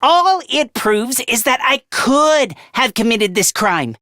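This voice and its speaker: A man's voice: extremely high pitch, big pitch swings, slow pace, bright, forceful tone, dry recording, studio quality, exaggerated voice. A man voicing a nervous, self-loathing teenage schoolboy in a video game, with a stammering, hesitant and defensive delivery. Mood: defensive, flustered. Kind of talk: English video game voice acting.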